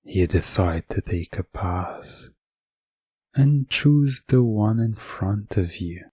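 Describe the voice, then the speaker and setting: Hushed, deep-voiced man narrating slowly. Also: animated, no room sound. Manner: hushed, breathy at times, suspenseful. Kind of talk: second-person scripted narration, hypnosis ASMR.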